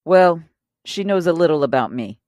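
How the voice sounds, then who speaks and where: A woman's voice: low voice, expressive, moderate pace, a little mellow, no echo, studio quality. A woman playing a loyal, hot-tempered robber wracked with guilt in an audio drama, speaking in a blunt, earnest, profane way. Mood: defensive. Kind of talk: audio drama crime acting.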